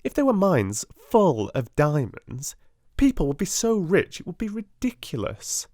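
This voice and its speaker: A man's voice: a little high, wildly swooping pitch, unhurried, darkish tone, no echo, studio quality, voice pushed up. A man voicing a spiteful, haughty schoolgirl in a storybook, with a scornful, snippy manner. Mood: spiteful boasting.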